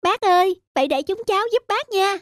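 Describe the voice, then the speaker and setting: High-pitched cartoon schoolchild. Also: female voice, expressive, fairly quick. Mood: eager to help. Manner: childlike, polite, eager. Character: eager, timid little schoolchildren, sweet and polite. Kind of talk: children's cartoon dubbing. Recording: music behind the voice.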